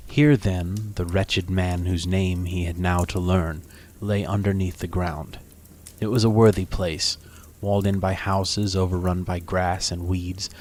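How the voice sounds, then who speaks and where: A man telling a classic story as narrator, in a deliberate, solemn and expressive dramatic reading, with some noise behind. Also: low-pitched. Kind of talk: dramatic audiobook-style narration of a classic tale.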